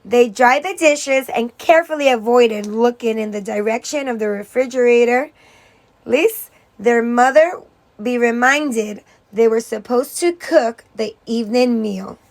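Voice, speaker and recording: High-pitched woman reading slowly to children. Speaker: teacher reading aloud. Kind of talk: children's story read-aloud.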